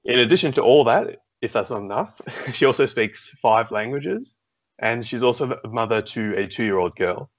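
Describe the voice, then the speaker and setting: A man's voice: medium pitch, animated, moderate pace. Young male host on a muffled video call. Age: young adult. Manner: friendly, reads the guest introduction. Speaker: podcast host. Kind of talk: interview hosting. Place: small room indoors. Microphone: video call with earphones.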